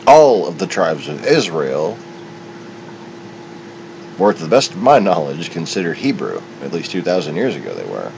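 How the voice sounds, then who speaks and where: Low-voiced man rambling over loud rumble. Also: gravelly. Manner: halting, digressive, opinionated. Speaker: solo commentator. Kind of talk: unscripted rambling monologue. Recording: muffled, with loud steady rumble.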